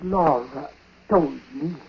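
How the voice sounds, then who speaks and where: An actor playing a dying, bitter, superstitious old uncle, speaking gravely in broken phrases, in 1940s radio drama style. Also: male voice, on the high side, takes it slowly, full character voice. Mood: grave warning. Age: older. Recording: noisy, muffled 1940s radio recording.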